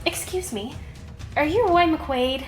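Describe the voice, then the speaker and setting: A woman playing a defiant, quick-witted young woman in an audio drama, emphatic and taunting. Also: sits high, loud music behind the voice. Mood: bubbly, goading.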